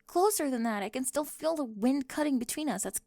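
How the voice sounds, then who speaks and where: Woman, mid-pitched, gravelly edge, dry close whispers, teasing roleplay delivery. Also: animated, fairly quick, dry recording. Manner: teasing, sharp-tongued, moving between whispers and soft speech. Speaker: ASMR roleplay performer.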